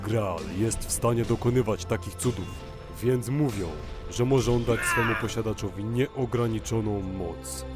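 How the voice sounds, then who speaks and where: Guy acting as serious knowing anime narrator man, lower voice, solemn, music under it. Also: steady tone, a little slow, lightly acted. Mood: grave, explanatory. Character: grave, knowing male narrator of a legendary war. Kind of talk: scripted expository narration in anime dub.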